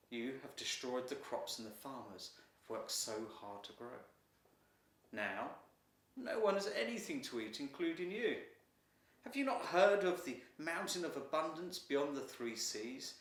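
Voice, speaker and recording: A man voicing a wise, stern heavenly guardian lion in a storybook, speaking with solemn admonishment. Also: a little high. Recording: roomy echo, distant from mic.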